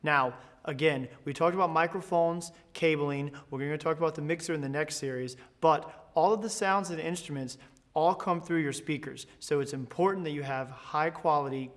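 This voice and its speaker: American guy in his 30s doing a product tutorial, voice a bit on the high side, explainy and salesy. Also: steady tone, moderate pace, fairly bright tone. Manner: explanatory, promotional. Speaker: presenter, product education manager. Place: large indoor school band room.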